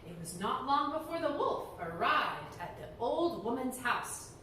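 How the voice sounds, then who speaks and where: A woman reading a fairy tale aloud to children in a library, animated and often laughing. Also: sits high, a little slow, background noise. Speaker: storyteller. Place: library room. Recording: echoey room, heard from far off.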